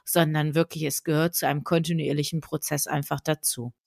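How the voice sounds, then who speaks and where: Woman, podcast host, lowish voice, effusive thanks, rising farewells. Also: level tone, brisk, gravelly. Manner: warm effusive thanks, rises high in farewells. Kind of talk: podcast interview.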